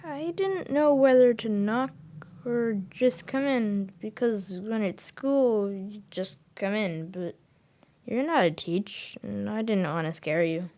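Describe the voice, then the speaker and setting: A man's voice: sits high, slow, darkish tone. An actor playing a naive, chatty eight-year-old boy who rambles hesitantly in an audio drama. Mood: nervous, chatty. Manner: hesitant, rambling, childlike phrasing.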